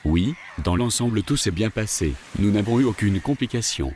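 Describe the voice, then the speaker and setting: A man playing a stern, matter-of-fact kidnapper who translates for his boss in an audio drama, measured and firm. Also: on the low side, lively intonation, a little mellow, a little hiss or hum, close to an everyday voice.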